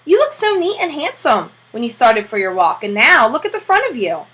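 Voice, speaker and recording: Woman playing a concerned, bright-voiced storybook mother. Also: a little high, sing-song, brisk, slightly rough, voice pushed up, full character voice. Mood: dismayed. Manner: gentle, fretting. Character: caring, concerned wife and mother.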